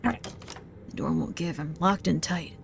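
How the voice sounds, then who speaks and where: An actor playing a lost man trapped in a strange place, who mutters to himself in puzzlement with a gravelly voice. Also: on the high side, takes it slowly, a little hiss or hum. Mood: puzzled. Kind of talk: game dialogue.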